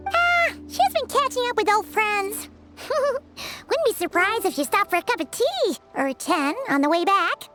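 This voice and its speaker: Tiny boastful fairy sidekick, childlike squeak, extremely high, lively swings, video game acting. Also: female voice, unhurried, soft background music, heavily acted. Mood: amused. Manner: refers to self in third person, self-important. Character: tiny chirpy girlish fairy guide, boastful and friendly.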